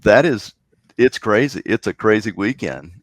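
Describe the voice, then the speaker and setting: A man with a very low voice chats as a podcast guest, stopping and restarting his sentences often with plenty of ums. The sound is dry and close, with no room around it. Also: lively intonation, dry recording. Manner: halting, many fillers and restarts. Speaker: guest, contest organizer. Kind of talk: casual podcast chat.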